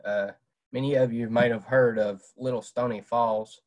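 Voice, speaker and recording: A man's voice: medium pitch, fairly even intonation, normal speed, low-quality recording. Plain-spoken man. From Southwest Virginia. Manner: plain-spoken, many "uh" fillers. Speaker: fishing presenter. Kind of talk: casual slideshow talk. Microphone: webcam on video call.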